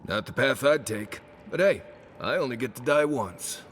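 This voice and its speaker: A man's voice: medium pitch, lively intonation, moderate pace, a little hiss or hum, close to an everyday voice. A man playing a cynical, sardonic bodyguard companion in a video game, with a dry, blunt delivery. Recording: game music behind the voice.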